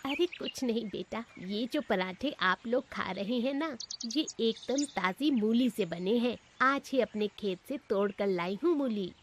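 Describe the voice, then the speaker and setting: A woman voicing a kind, motherly old street-cart cook in a cartoon moral story, speaking gently and affectionately. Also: fairly high pitch, expressive, a little slow, hardly any pauses, a little hiss or hum. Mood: warm, modest. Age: older.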